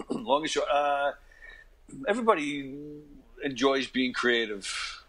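A fifty-year-old man, chatting casually as an interview guest and telling stories in an animated, conversational way. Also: mid-range voice, takes it slowly, low-quality recording. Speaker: interview guest, actor and comedian. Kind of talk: casual interview anecdotes and advice.

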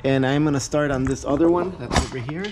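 A man's voice: mid-range voice, fast talker, noisy background. A man casually narrating a hands-on tool demo to viewers while working on a car in a garage, recorded on a head-mounted camera. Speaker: vlogger reviewing a tool. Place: garage with a car, door open to outdoors.

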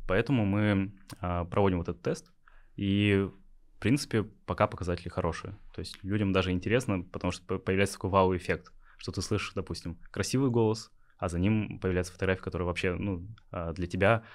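A young man from Yakutia, a startup founder, answering interview questions hesitantly with many filler sounds. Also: low voice. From Yakutia, Russia. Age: young adult.

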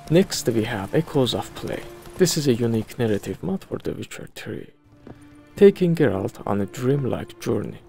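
A man's voice: medium pitch, very animated, moderate pace, gravelly, warm tone. A man presenting a game video in scripted review narration. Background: background music.

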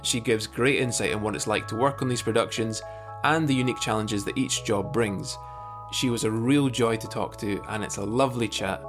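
A man's voice: fairly low pitch, normal speed, over music. A man in his thirties hosting a podcast in a casual, conversational interviewing style. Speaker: podcast host. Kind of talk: friendly interview, casual chat. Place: indoor room on a video call. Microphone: video call.

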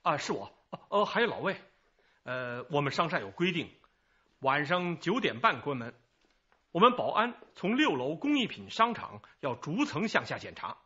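A man's voice: on the high side, sing-song, normal speed, pushed and bright, husky, highs cut off, heavily acted. An actor playing a flustered, defensive male security guard leader in a radio crime drama. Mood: explaining. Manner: defensive, agitated.

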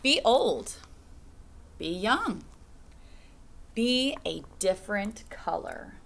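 A woman in her thirties, a teacher, reading a picture book aloud to young children in a classroom with a slow, sing-song storytime delivery. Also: medium pitch, big pitch swings, gravelly, fairly bright tone. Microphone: webcam at desk.

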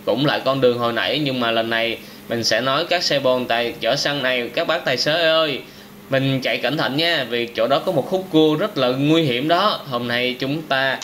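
A young man hosting a channel, giving lively game reaction commentary for kids, exclaiming often and talking straight to viewers. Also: medium pitch, normal speed, a little hiss or hum. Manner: excitable, frequent wow exclamations, addresses viewers directly. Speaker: channel host.

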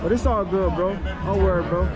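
Brief: high-pitched male vlogger, easygoing street talk, heavy crowd din behind. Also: a little fast, lo-fi sound, over loud music. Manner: laid-back, says "bro" a lot. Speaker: travel vlogger. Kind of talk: casual walking vlog. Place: busy city street and station outdoors. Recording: loud crowd noise outdoors. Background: dense crowd and traffic.

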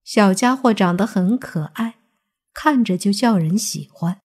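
A woman narrating a scripted suspense audiobook in a measured, deliberate storytelling manner. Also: mid-range voice, darkish tone, breathy edge. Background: background music.

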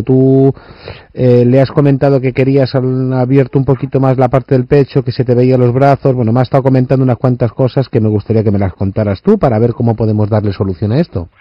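A man with an accent from Spain making a radio prank call as a dressmaker, politely insistent and often repeating himself. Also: no room sound. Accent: Spanish, from Spain. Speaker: prank caller, radio show host. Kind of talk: prank phone call posing as a wedding dressmaker. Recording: muffled, low-fidelity radio phone prank.

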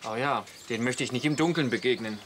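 An actor playing a hesitant, agreeable sidekick with halting speech in a German radio comedy drama. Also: male voice, medium pitch, background noise, natural voice.

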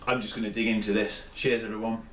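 A man's voice: fairly low pitch, little pitch movement, quick pace, fairly bright tone, recorded from a distance, slight background noise. Tired, chatty man, roomy kitchen. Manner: chatty, rambling, enthusiastic. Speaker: beer reviewer. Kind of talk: casual solo review. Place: small home kitchen, indoors. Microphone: camera mic at a few steps. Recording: roomy, off-mic home camera sound.

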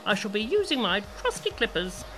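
A woman's voice: medium pitch, big pitch swings, a little fast, fairly bright tone, a little hiss or hum. Woman acting a sing-song, falsely sweet hairdresser. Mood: mock sympathy. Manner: cooing endearments, mock-innocent. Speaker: actor in an amateur audio play. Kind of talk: comedic radio-play acting.

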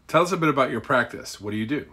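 A man's voice: mid-range voice, lively intonation, racing, bright, forceful tone. Man reading brief interview questions. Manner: brief and plain.

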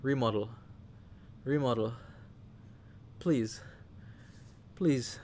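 Excitable Trinidadian man commentating a game. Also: mid-range voice, very slow, a little hiss or hum. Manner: animated, talks to the players, shouts when excited.

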